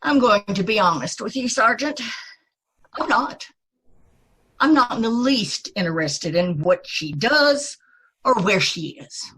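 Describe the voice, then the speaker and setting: A woman in a radio drama playing a bitter, grieving grandmother who is widowed and alone, speaking in a halting, resentful way. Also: mid-range voice, expressive, fairly bright tone, husky, poor audio. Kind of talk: 1950s radio drama acting.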